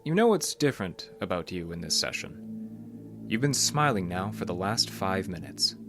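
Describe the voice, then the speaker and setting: Calm psychologist, lowish pitch, measured, patient, clinical, audio drama, prominent music behind. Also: male voice. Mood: gently firm. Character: calm, probing adult male psychologist.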